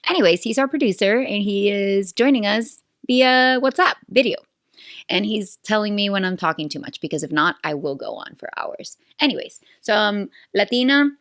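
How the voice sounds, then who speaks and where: A woman's voice: medium pitch, lively intonation, moderate pace, a bit bright, no echo, clean studio sound. A woman in her thirties from Venezuela, a native Spanish speaker with a Venezuelan accent, hosting her own podcast in playful, chatty, self-aware talk. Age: 30s. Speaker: podcast host. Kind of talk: casual solo podcast chat.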